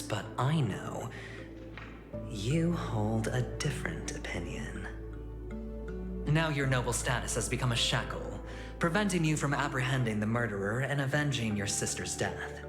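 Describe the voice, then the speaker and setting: An actor playing a smooth-talking, calculating young male gambler in a video game, with an insinuating, deliberate delivery. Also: mid-range voice, animated, over music, close to an everyday voice. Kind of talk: dramatic video game voice acting.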